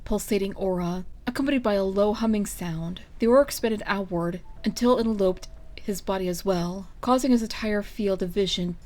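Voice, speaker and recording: A woman reading a story aloud as its narrator, in an expressive scripted style. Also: mid-range voice, brisk. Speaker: fan fiction reader.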